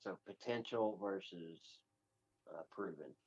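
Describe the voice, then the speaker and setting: A man chats casually about sports as a co-host. He teases in a dry, deadpan way. The recording is a little dull and lo-fi. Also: deep voice, measured pace, slightly rough.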